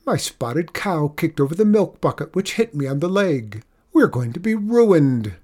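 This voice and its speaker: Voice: mid-range voice, big pitch swings, unhurried, darkish tone, voice pushed up. A storyteller voicing an anxious, melodramatic farmer who wails and groans about doom, in a children's story. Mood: groaning misery. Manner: wailing, groaning, dramatic.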